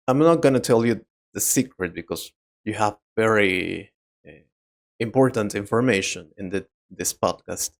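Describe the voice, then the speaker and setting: A middle-aged man who speaks English as a second language, teaching learners on a podcast in short, simple, deliberate sentences. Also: lowish voice. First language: not English (English is second language). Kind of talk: slow educational podcast talk to learners.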